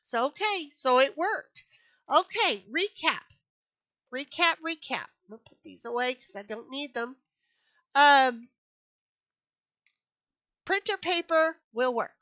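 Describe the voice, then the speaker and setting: A woman hosting a casual craft tutorial, chatty and a bit sniffly from allergies, often repeating herself and filling pauses. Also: high voice, lively intonation, pushed and bright, no echo. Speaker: craft channel host. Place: home craft room.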